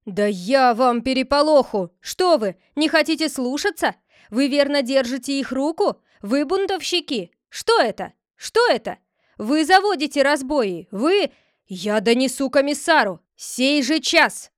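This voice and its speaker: A woman voicing an older village head who shouts commands in a blustering, bossy way. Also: sits high, lively intonation, unhurried, hardly any pauses, clear, resonant tone, dry recording, studio quality. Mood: angry, commanding.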